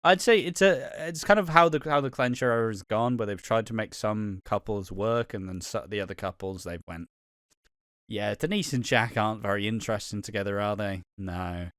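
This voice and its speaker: A man from England near the Welsh border co-hosting a casual podcast, relaxed, laughing often and trailing off mid-sentence. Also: on the low side, moderate pace, clean tone, dry recording, clean studio sound. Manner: laid-back, laughs often, trails off and restarts. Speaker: podcast co-host.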